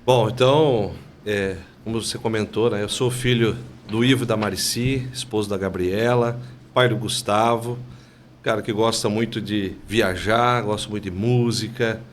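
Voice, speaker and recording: A man, a bank manager guest on a podcast, telling his story calmly and often tagging his sentences with "né?". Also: on the low side, normal speed, a little hiss or hum. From Santa Catarina, Brazil. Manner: calm, storytelling, frequent "né?" tags. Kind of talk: casual podcast interview.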